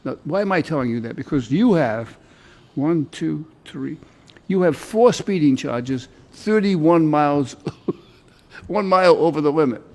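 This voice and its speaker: Higher-pitched man judging informally. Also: expressive, unhurried, darkish tone. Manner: informal, teasing, repeats answers back. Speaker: judge presiding in a municipal court show. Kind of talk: conversational courtroom questioning. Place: courtroom.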